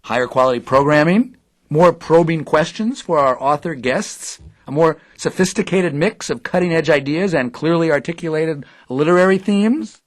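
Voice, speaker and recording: A man's voice: on the high side, moderate pace, close to an everyday voice. Man playing an earnest, bookish radio interviewer. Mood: earnest, helpful. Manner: pedantic, earnest. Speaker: actor playing a radio host. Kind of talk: audio drama dialogue.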